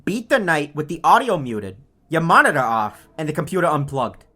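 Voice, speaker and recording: Man voicing a snarky, deadpan game character. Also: on the high side, normal speed, exaggerated voice. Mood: smug taunting. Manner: sarcastic, deadpan, condescending. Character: snarky, bored, self-aware game character taunting player. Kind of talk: video game taunt lines.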